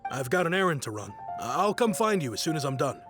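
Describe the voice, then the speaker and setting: A man's voice: a little high, very animated, quick pace. A man playing a friendly, proud, sentimental self-made businessman in a video game, easygoing and conversational. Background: game music.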